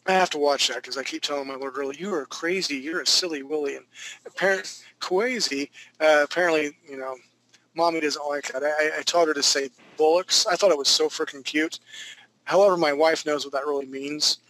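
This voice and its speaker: Bright-voiced joking male drawing instructor. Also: a little high, brisk, slight background noise, low-quality recording. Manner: chatty, joking asides while drawing. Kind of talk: live drawing class narration.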